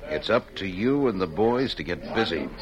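An actor playing a scheming, bossy villain in an old-time radio western. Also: male voice, sits low, lively intonation, moderate pace. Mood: scheming, insistent. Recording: muffled old radio, loud crowd behind.

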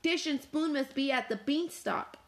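A woman voicing a plucky, grumpy little female dog in a storybook, emphatic and excitable. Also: sits high, moderate pace, voice pushed up. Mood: urgent.

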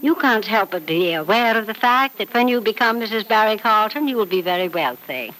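Wealthy elderly matriarch, played by a woman, frank and grandly fond, lively swooping delivery, old radio soap recording. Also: mid-range voice, a little fast, close to an everyday voice, poor audio. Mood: brisk, defiant. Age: older. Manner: emphatic, theatrical, grandly affectionate. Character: elderly wealthy mother, frank, doting, imperious. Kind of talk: 1940s radio soap opera acting.

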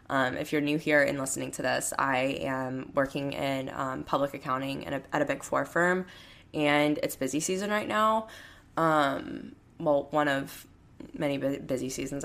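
A young woman in her twenties hosting a solo podcast from home, her voice hoarse and raspy, rambling casually with lots of fillers. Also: sits low, a bit bright. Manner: rambling, heavy use of "like" and "um". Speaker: podcast host.

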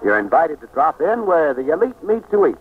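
A man's voice: fairly high pitch, big pitch swings, a little fast. A man announcing a show in scripted 1940s radio style. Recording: scratchy, narrow-band 1940s radio recording.

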